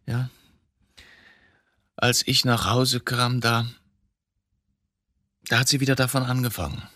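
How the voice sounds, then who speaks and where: A man's voice: medium pitch, level tone, takes it slowly, bright, forceful tone, no echo, clean studio sound. An actor playing an embittered, middle-aged male court reporter suspected of murder in a German radio drama, brooding and agitated, given to rhetorical questions. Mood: bitter, confessional.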